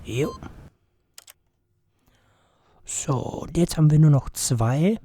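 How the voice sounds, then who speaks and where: A hoarse man hosting a let's play, commentating casually with rambling, mumbled asides, sitting close to the mic. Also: fairly high pitch, expressive, unhurried, warm tone, dry recording. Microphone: close to the microphone.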